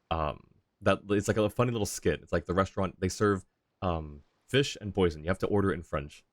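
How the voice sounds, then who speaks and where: A man's voice: low-pitched, studio quality. A man livestreaming a video game with casual commentary, in a roomy-sounding recording. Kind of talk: casual commentary while playing.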